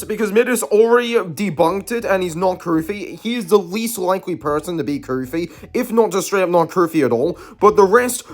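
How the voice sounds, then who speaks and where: A man hosting a video, giving casual, rambling, argumentative commentary. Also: high voice. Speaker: YouTube host.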